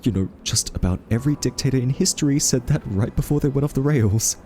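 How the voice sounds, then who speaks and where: A man playing an earnest, quirky scientist in a roleplay audio drama, soft-spoken, hesitant and argumentative as a thoughtful captive. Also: mid-range voice, fast talker, slight background noise, lightly acted. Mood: skeptical, reproachful.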